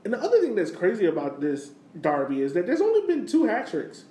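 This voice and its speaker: Male sports podcast co-host, informal and emphatic, very animated intonation, roomy sound with faint noise. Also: high voice, brisk. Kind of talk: casual sports podcast chat.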